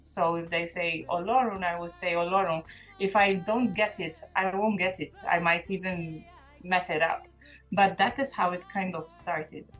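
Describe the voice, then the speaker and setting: A woman's voice: fairly low pitch, steady tone, moderate pace, a bit bright, faint music behind. A woman, a gospel singer speaking as an interview guest in an earnest, reflective way, often restarting her sentences. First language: Maltese. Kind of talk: casual interview chat.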